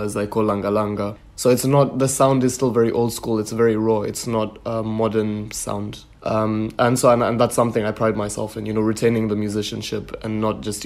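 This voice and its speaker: A man from Kenya speaks in a low, even tone that rarely climbs. He sounds relaxed and thoughtful, and often pads his sentences with "you know" as he talks about his craft. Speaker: musician guest. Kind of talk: interview answers.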